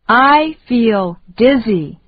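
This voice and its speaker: A woman reading short phrases slowly and deliberately for learners to repeat. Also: fairly high pitch, animated, slightly rough, dull audio. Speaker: English lesson reader.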